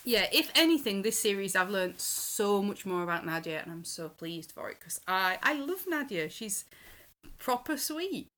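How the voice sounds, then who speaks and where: British woman podcast panellist, mid pitch, just chatting. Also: big pitch swings, normal speed, a bit bright. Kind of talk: casual chat.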